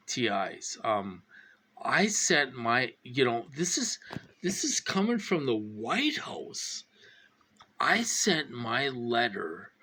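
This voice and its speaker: Slow halting man, fifty. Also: mid-range voice, sharp tone, husky. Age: 50s. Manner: halting, repeats himself, many fillers; anxious, insistent. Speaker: vlogger. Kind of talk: rambling personal monologue.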